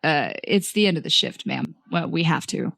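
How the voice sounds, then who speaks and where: A woman's voice: low-pitched, huge pitch swings, moderate pace, vocal fry, dry recording, studio quality, pitched below the performer's own voice, heavily acted. A woman voicing a nervous, awkward, deferential male supermarket employee who stammers hesitantly in a comic story.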